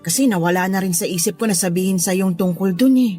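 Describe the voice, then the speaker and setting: A woman playing a gentle, forgetful middle-aged mother in a Tagalog radio drama. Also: mid-range voice, quick pace, soft background music, natural voice. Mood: apologetic.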